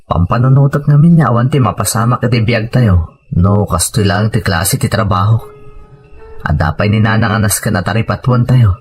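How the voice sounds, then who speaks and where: An actor with an Ilocano accent playing an earnest, worried husband and devoted son in an audio drama. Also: male voice, fairly low pitch, moderate pace, slightly rough, soft background music. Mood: troubled.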